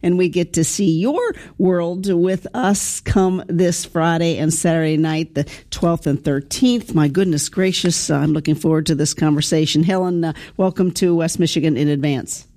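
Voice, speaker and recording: Woman, radio host, folksy, low pitch, mellow tone. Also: normal speed, hardly any pauses. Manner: folksy, rambling, enthusiastic. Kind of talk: radio interview.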